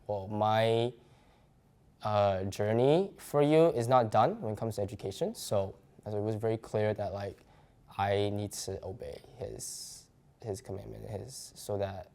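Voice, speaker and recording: A young man from Malaysia, a graduate student assistant, giving earnest and halting personal interview answers. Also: fairly low pitch, a little mellow. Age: young adult.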